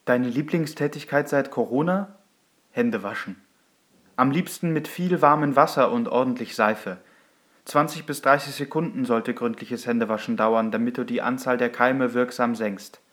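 A man's voice: medium pitch, moderate pace, a bit bright, lightly acted. A man playing a social media advice poster, reading scripted information in an instructive way. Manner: instructive, asks and answers himself.

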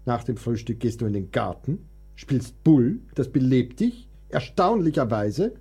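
An actor in a radio play, playing a frail, melancholic composer who is weary, plaintive and self-pitying. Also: male voice, medium pitch, big pitch swings, measured pace, dark tone, slightly rough, faint music behind, full character voice. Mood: gently teasing.

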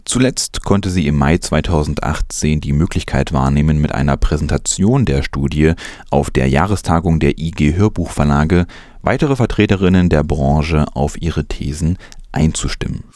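A man's voice: very deep voice, moderate pace, a little mellow, dry recording, studio quality. A man presenting news as scripted narration.

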